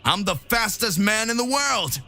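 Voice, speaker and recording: A man's voice: sits high, lively intonation, moderate pace, vocal fry, sharp tone, soft background music, voice pushed up, heavily acted. A man voicing a cocky, heroic rabbit-like fighter in an anime-style story. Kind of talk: anime fan-fiction dramatic reading.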